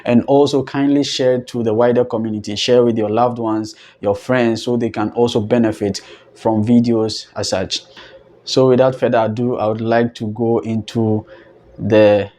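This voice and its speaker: A man's voice: mid-range voice, steady tone, normal speed, mellow, slight background noise. Ghanaian man hosting an explainer channel. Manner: polite, earnest, walking viewers through details step by step. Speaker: channel host. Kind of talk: scholarship explainer vlog.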